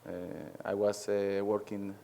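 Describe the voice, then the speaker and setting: A man from Argentina whose first language is Spanish, a head coach answering press questions in halting English with many pauses. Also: fairly low pitch, completely flat delivery, dark tone, a little hiss or hum.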